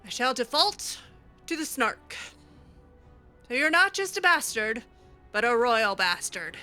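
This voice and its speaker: A woman's voice: on the high side, sing-song, measured pace, sharp tone, faint music behind. A woman improvising in-character commentary on a game playthrough, theatrical, sarcastic and easily exasperated. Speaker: gamer roleplaying her character.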